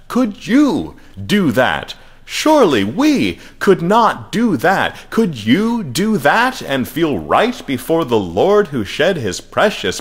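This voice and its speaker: High, swooping, pious churchman. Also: exaggerated voice. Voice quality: high, carrying voice. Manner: swooping emphasis, dramatic pauses, drawn-out words. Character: pious, theatrical churchman answering with rhetorical questions. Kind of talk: mock-sermon in comic storytelling.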